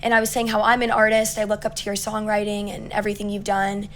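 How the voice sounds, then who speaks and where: A young woman with a raspy voice, a singer-songwriter chatting upbeat as an interview guest, full of likes and ums. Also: mid-range voice, monotone, quick pace, a little hiss or hum. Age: young adult. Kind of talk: casual interview chat.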